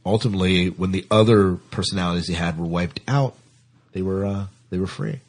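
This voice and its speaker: A man's voice: deep voice, fairly quick. A man hosting a casual podcast discussion about science fiction television. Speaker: podcast host.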